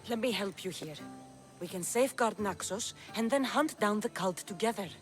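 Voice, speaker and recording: A woman's voice: medium pitch, moderate pace, faint music behind, natural voice. A woman playing a fierce, earnest young Greek mercenary and protective daughter in a dramatic video game. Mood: warm, resolute.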